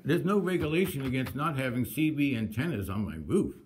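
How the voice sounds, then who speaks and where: A man's voice: mid-range voice, animated, fairly quick. A man arguing his own case at a public hearing, combative and insistent, often repeating himself. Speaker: citizen contesting a code violation at a hearing. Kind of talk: argumentative public hearing testimony.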